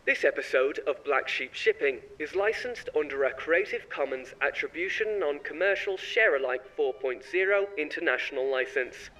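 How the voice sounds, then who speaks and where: A man's voice: lively intonation, normal speed, hardly any pauses, sharp tone. A man working as announcer, reading scripted show credits. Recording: distant sound with faint rumbling noise.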